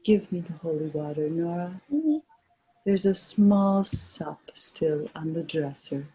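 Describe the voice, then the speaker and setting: Lady acting a sad old mother in a stage play, weary and lamenting, lowish mellow voice. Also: takes it slowly, low-quality recording. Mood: weary resignation. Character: grieving old Irish mother, weary and resigned. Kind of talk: stage drama performance.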